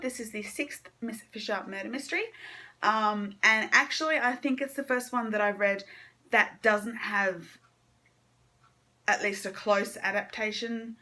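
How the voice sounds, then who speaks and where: A woman's voice: a little high, unhurried, sharp tone. Casual, hesitant woman chatting about reading. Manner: informal, hesitant, thinking aloud. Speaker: book vlogger. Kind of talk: casual monthly reading wrap-up chat.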